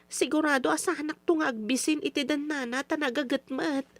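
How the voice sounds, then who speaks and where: Young daughter for radio drama: high-pitched, Ilocano accent, earnest, plaintive, digs her heels in. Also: fairly even intonation, brisk, no echo. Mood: protesting. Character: earnest young daughter, stubborn, pleading, simple farm girl. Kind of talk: old radio drama acting.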